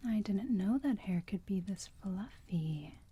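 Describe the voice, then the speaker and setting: A woman playing a gentle, protective, motherly vampire in a whispered ASMR roleplay, speaking softly and soothingly in a breathy voice close to the mic. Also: mid-range voice. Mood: tender, curious. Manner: soft, slow, cooing, soothing.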